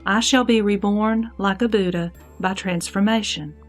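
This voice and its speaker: A woman voices a heavenly goddess in a sutra audio drama, serene and sure of her wisdom. She speaks at a medium pitch, measured and instructive, with calm authority. Music plays behind her. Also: close to an everyday voice. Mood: calm, assured. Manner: measured, didactic, declamatory. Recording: background music under the voice.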